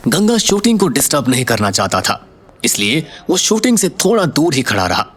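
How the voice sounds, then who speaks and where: A man's voice: mid-range voice, very animated, normal speed, fairly bright tone, a little hiss or hum. A man narrating a scripted Hindi audio story in a dramatic style.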